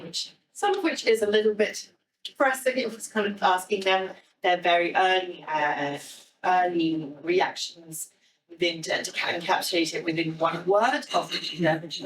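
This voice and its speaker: A woman's voice: on the low side, fairly bright tone. An Irish woman giving a live, explanatory presentation to an audience, speaking a little hesitantly. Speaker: theatre director giving a talk. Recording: echoey room, distant microphone.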